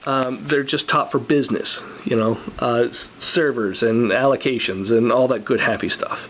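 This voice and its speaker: A man's voice: mid-range voice, normal speed. A man hosting a game coding channel, stuffed up from a cold, talking in a meandering casual way with many fillers and restarts. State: congested from a cold. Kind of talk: casual rambling vlog talk. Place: home room, on camera. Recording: slightly dull recording with faint hiss.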